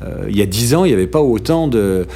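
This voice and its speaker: A middle-aged man from France in an interview, talking thoughtfully with frequent "euh" pauses and restarts and some dry humor. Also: on the low side, expressive, creaky, dark tone, breathy edge. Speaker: interview guest. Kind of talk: hesitant conversational interview.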